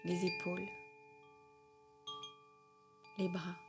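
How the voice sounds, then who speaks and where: A woman leading a guided meditation as a yoga nidra guide, gentle and soothing, speaking in short phrases with long pauses. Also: on the low side, monotone, over music.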